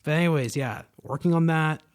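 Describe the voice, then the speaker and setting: A man's voice: on the high side, fairly quick, clear, resonant tone. A young man hosting his own podcast, talking in a loose, rambling monologue full of fillers and swearing, into a podcast microphone. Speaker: podcast host. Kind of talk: casual solo podcast monologue.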